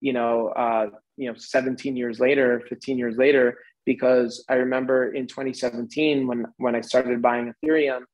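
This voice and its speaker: A man's voice: medium pitch, little pitch movement, normal speed, hardly any pauses, a little mellow, poor audio. Rambling American man in his forties. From United States. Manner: rambling, many fillers and restarts. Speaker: interview guest. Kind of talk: casual podcast conversation.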